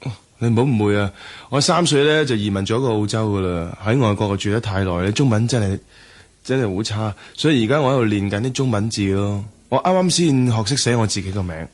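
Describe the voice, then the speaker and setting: A man's voice: fairly low pitch, lively intonation, a little fast. An actor playing a breezy, forward young man who is back from Australia, in a Cantonese radio drama. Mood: curious, earnest. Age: young adult.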